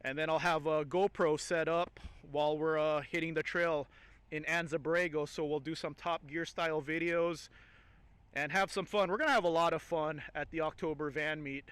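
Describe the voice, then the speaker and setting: Smooth, higher-voiced man vlogging casually. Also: fairly even intonation, normal speed, a bit bright, dry recording. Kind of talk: casual chat to camera.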